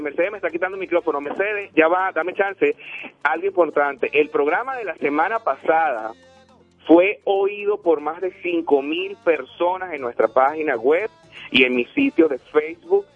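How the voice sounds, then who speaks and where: A man's voice: fairly high pitch, no echo. Emphatic man from Venezuela on phone-line radio. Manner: preachy, emphatic, repeats phone numbers. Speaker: radio host. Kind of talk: motivational radio talk.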